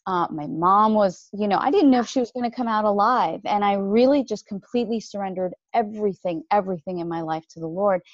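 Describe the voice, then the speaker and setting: A woman as a podcast guest, talking about her faith in a lively way and jumping up in pitch when she quotes others. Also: a little fast, few pauses, dry recording, muffled recording. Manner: animated, jumps pitch when quoting others. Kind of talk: faith-based podcast conversation.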